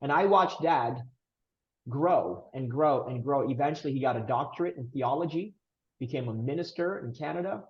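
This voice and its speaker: A man's voice: medium pitch, normal speed, dull audio. Earnest man from Romania telling his story. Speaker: guest. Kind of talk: casual interview storytelling.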